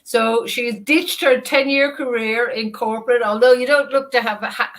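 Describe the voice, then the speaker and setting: A woman's voice: a little high, fairly even intonation, moderate pace, fairly bright tone. An Irish woman hosting a business interview show, upbeat and effusive as she introduces her guest over a video call. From Ireland. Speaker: host.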